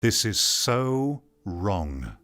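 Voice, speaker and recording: A man's voice: on the low side, sing-song, very slow, fairly bright tone. A man giving opinionated commentary on an article, reading parts aloud and reacting in a blunt, dismissive, argumentative way. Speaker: video commentator.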